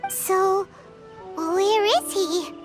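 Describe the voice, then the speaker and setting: A woman voicing a tiny, excitable, childlike fairy companion in a video game, with a squeaky, exclamatory delivery. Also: extremely slow, over music, full character voice. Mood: curious. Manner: exclamatory, speaks of herself in third person.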